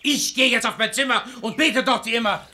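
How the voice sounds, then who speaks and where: An actor playing a sly, pious hypocrite and seducer in a German radio play, speaking rhymed verse in an unctuous, insistent, theatrical way. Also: male voice, very high-pitched, fairly quick, bright, forceful tone, slight background noise, full character voice. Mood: outraged.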